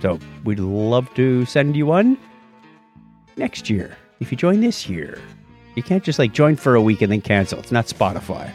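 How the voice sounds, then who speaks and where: A man's voice: medium pitch, sing-song, moderate pace, darkish tone, soft background music. A man hosting a podcast in a casual, playful, joking style. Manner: jokey, playful. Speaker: podcast host. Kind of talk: casual chat, listener shout-outs.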